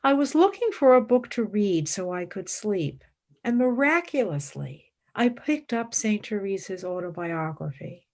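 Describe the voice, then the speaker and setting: A woman's voice: medium pitch, sing-song, measured pace, a little mellow. An actress playing a fervent, devout woman philosopher and mystic in a radio play, speaking in intense, formal, reverent declamation. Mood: calm, resolute. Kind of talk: radio play acting, monologue and dialogue.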